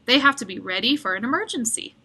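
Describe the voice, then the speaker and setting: Bright, lively woman reading a children's story. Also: on the high side, quick pace, slightly rough. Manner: expressive, animated storytelling. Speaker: read-aloud storyteller. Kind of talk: children's picture book read-aloud.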